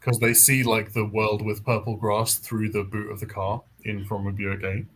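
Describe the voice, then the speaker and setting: A man's voice: lowish voice, little pitch movement, moderate pace, fairly bright tone, slight background noise. A man hosting a book readalong, talking casually about novels in a group discussion. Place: indoors, online group call.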